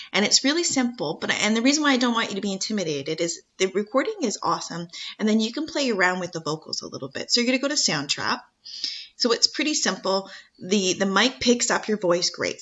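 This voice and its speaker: A woman teacher walks students through a screen-share tutorial. Her voice is bright and clear at a middle pitch. She keeps it chatty and encouraging. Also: dull audio.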